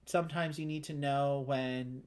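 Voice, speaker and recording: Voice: mid-range voice, steady tone, normal speed, darkish tone. Casual board game player thinking aloud. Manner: thinking aloud, planning moves.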